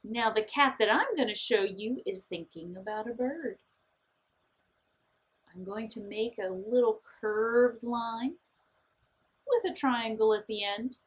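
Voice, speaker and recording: A woman's voice: on the high side, slow, pauses often. A woman art teacher guiding children step by step through a drawing and painting lesson, speaking in simple, encouraging, reassuring phrases.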